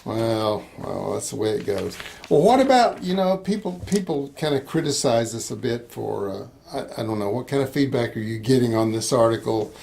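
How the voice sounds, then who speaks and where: Older man with a gravelly voice. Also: medium pitch, expressive, normal speed, few pauses, darkish tone, slight background noise. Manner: rambling, hesitant restarts, laughs easily. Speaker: interviewer, missions organization host. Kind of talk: casual conversational interview.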